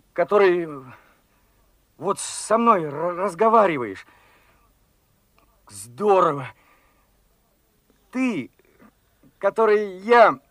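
High-voiced, eager young lad. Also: male voice, a bit bright, no room sound, heavily acted. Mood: puzzled, amazed. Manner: earnest, plain-spoken, questioning. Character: eager, naive twenty-year-old revolutionary working lad, dreaming of glory. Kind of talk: Soviet radio drama acting.

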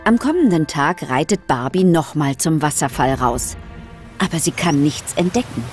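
Low, lively woman storyteller over music. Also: moderate pace. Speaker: narrator. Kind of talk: scripted storytelling for a children's audio drama.

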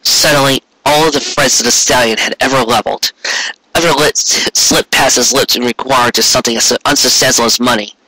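A man's voice: mid-range voice, fairly even intonation, a little fast, sharp tone, no echo, rough recording. A man narrating a story as a dramatic reading, intense in manner, now and then stumbling over words. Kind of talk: dramatic reading of fan fiction.

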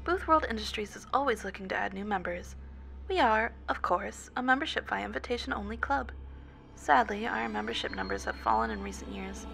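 A woman's voice: mid-range voice, very animated, brisk, creaky, a bit bright, heavily acted. A woman playing a polite, unflappably cheerful telephone operator for a sinister company in a scripted horror audio story. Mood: courteous, menacing. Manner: scripted customer-service courtesy, unflappable.

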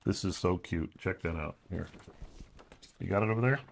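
A man co-hosting a casual unboxing chat inside a home, enthusiastic and quick to exclaim. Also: deep voice, creaky. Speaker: product review co-host. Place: indoors at a home.

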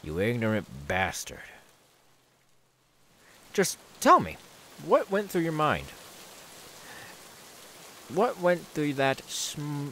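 A man playing an unhinged, menacing, mocking serial killer in a theatrical ASMR horror audio roleplay, volatile between hushed and manic. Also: mid-range voice, a little hiss or hum, exaggerated voice.

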